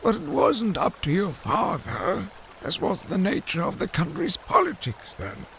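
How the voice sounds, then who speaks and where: An actor playing an elderly, long-winded fish-man scholar in an audio drama, with a gravelly voice and a halting, formal storytelling manner. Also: high voice, huge pitch swings, sharp tone, a little hiss or hum, exaggerated voice. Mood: disapproving.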